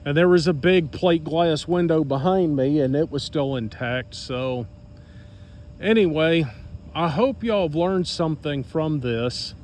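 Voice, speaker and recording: A man's voice: a little high, unhurried, darkish tone, a little hiss or hum. An American man telling a personal story casually to followers, in a blunt, sarcastic, plain-spoken manner. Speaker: storyteller recounting own experience to followers.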